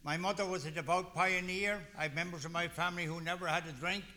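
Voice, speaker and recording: A man's voice: high voice, fairly even intonation, fast talker, bright, forceful tone. An older man from Ireland with an Irish accent, telling his own recovery story to a meeting in a folksy, rambling way. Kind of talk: personal testimony talk.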